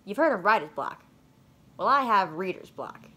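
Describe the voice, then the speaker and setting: Woman voicing a plaintive, animated storybook schoolboy. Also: medium pitch, moderate pace, breathy edge, heavily acted. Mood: glum. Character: reluctant schoolboy who dreads reading a big book. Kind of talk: children's storybook read-aloud.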